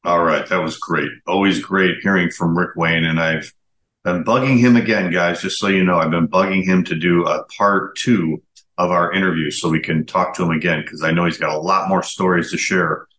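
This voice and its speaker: A man's voice: sits low, fairly even intonation, moderate pace, a bit bright. A man in his fifties presenting a podcast in a conversational way, introducing guests and asking them questions. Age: 50s. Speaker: podcast host. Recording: echoey room.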